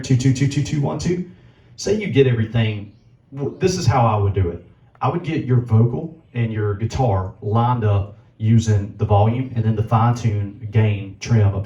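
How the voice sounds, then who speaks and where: A man with a low voice giving a casual tutorial, talking through settings as he adjusts them. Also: dull audio. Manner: relaxed, talks while adjusting settings. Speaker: musician demonstrating gear.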